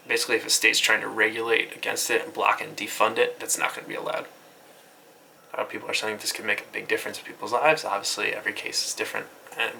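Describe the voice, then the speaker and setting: Bright, flat-pitched man recapping news. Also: gravelly, a little hiss or hum, low-quality recording. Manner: informal, offhand, occasionally swearing. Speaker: host of a web news recap series. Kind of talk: casual internet news recap, loosely scripted commentary.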